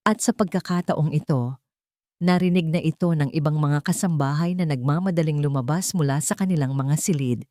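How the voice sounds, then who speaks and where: Woman storyteller for melodramatic fiction: slightly low voice, scripted narration, very little room echo. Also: a little fast, warm tone, studio quality.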